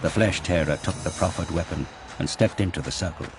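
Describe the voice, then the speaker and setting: A man's voice: low voice, brisk, music in the background, close to an everyday voice. A man narrating an audio drama in a dramatic, scripted storytelling style. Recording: clear background noise and ambience.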